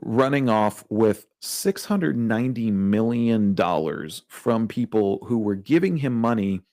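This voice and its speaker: Chatty guy telling a story on a podcast, says like a lot, lowish voice. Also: lively intonation, unhurried, darkish tone, no echo, clean studio sound. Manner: chatty, lots of like and you know, wry. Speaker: podcast co-host. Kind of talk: casual storytelling chat.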